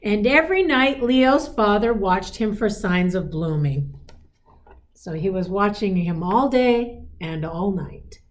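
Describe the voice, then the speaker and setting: A woman reads a picture book to children at an unhurried pace, in a mid-pitched voice. She is expressive and emphatic on the story lines, then turns to chatty, encouraging asides. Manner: encouraging, explains pictures and asks listeners questions. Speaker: school superintendent reading to children.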